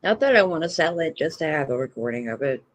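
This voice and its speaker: A co-host joins a relaxed evening chat, speaking at a middle pitch. The voice is soft, and the replies are mostly brief and easygoing. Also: quick pace, slight background noise. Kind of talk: casual chat.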